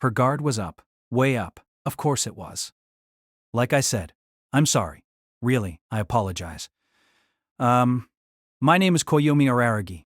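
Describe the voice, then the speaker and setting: An exasperated young man with a medium-pitched, very lively voice. He narrates his own story in wry, wordy asides and acted dialogue, recorded dry and close. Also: measured pace, long pauses, no room sound. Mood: wry, apologetic.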